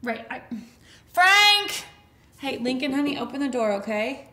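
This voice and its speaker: High-voiced exasperated mom in her 30s yelling thru a door, echoey hallway. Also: sing-song, measured pace. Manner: pleading, exasperated, coaxing. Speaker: mother. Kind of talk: comedy sketch acting. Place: home hallway by bathroom door. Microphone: boom mic.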